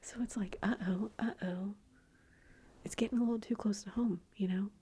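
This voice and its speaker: A middle-aged woman talks to her ASMR viewers almost entirely in a soft, confiding whisper that wanders from thought to thought. Her voice is warm and mellow, with a heavily breathy, airy texture. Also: medium pitch, steady tone, moderate pace. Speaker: ASMR YouTuber.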